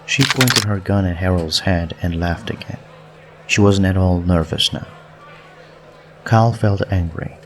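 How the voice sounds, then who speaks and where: A man with a very low voice reads story narration for an audiobook. The delivery is scripted, and there is faint noise on the recording. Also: measured pace.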